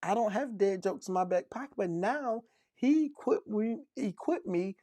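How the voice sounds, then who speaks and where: A man's voice: sits high, moderate pace, mellow, dry recording. A man co-hosting a casual podcast, playfully telling dad jokes and laughing at them. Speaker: podcast co-host.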